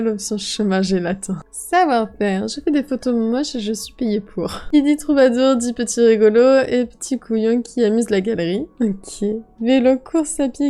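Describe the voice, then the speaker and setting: A woman's voice: fairly high pitch, mellow. A woman content creator reading messages aloud and reacting in a chatty, amused way, swearing casually now and then. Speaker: video creator.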